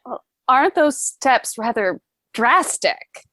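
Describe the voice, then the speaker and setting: A woman's voice: on the high side, lively intonation, slow pace, a bit bright, dry recording, studio quality. A woman playing a polite, genteel heiress who asks questions and wants more money, in a table read of a stage musical. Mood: surprised, doubtful.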